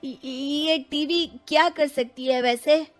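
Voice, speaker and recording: A woman's voice: high voice, fairly even intonation, slow pace, soft background music, voice pushed up. A woman playing a sceptical, complaining younger brother in a cartoon story. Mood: startled, curious. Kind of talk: Hindi cartoon story acting.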